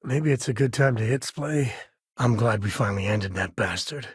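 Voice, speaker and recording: An American actor, a middle-aged man, playing an easygoing, wry space explorer and ex-ranger in a video game, with a husky, folksy drawl. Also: mid-range voice, normal speed, dry recording, studio quality. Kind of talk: video game voice acting, naturalistic dialogue lines.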